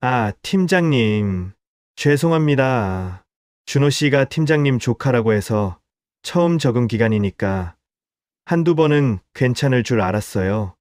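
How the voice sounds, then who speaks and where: A man's voice: mid-range voice, expressive, darkish tone, dry recording, clean studio sound, pitched above the performer's own voice, natural voice. A male narrator voicing an apologetic office clerk on the phone. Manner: apologetic, slow.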